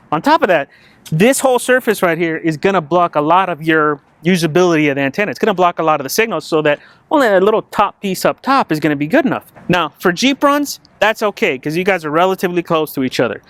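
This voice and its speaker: A man's voice: high-pitched, a bit bright. A man hosting a vehicle install video, talking casually and explaining to the viewers in an informal, conversational way. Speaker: host of an off-road vehicle channel. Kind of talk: casual install tutorial to camera.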